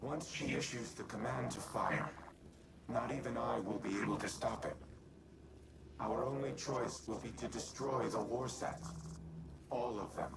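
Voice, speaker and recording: A solemn, noble machine mind in a game cutscene. He speaks formally in a gravelly male voice, somewhat distant beneath loud ambient effects. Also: medium pitch, heavy background noise. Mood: solemn, resigned. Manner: formal, deliberate, stoic. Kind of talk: video game cutscene acting.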